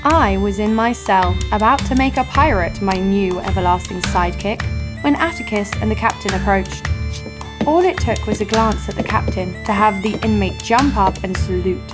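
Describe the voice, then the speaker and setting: An actress plays a cheeky young woman adventurer recounting her exploits in an audio drama. Her delivery is dry and sarcastic, at a middling pitch, with instrumental music playing clearly behind her. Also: moderate pace, lightly acted. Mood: wry storytelling. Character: sardonic, bold young female adventurer telling her tale. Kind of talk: first-person audio drama narration. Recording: music under the voice.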